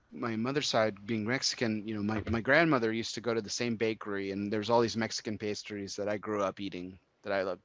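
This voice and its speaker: A man's voice: fairly low pitch, fairly bright tone, no echo, highs cut off. A man from Texas hosting a casual, conversational interview. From El Paso, Texas, United States. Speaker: interviewer, show host.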